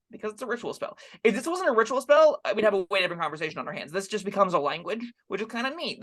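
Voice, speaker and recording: A man co-hosting a casual tabletop game discussion, rambling in an animated way and slipping into acted-out asides. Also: high voice. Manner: animated, rambling, acts out short quips in asides. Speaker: co-host and tabletop game reviewer. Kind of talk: casual two-person discussion.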